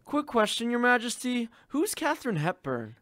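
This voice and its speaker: A woman's voice: a little high, sing-song, normal speed, fairly bright tone, no echo, studio quality. A woman playing a skeptical, wry subject of a kingdom in a comic audio sketch.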